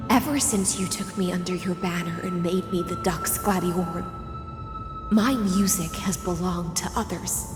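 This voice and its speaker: An actress playing a weary but resolute siren warrior woman in a video game, speaking in a measured, poetic, ceremonial way. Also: medium pitch, natural voice. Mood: solemn, defiant. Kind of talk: dramatic video game voice acting. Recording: echoey long reverb over music.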